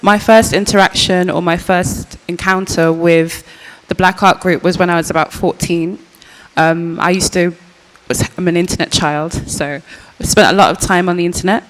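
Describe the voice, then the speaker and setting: A young woman from with a very quiet voice, speaking reflectively on a panel in a large public hall. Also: fairly low pitch. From Birmingham, England. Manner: reflective, searching, with fillers and restarts. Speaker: panel speaker, curator and archivist. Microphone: panel microphone. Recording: reverberant hall.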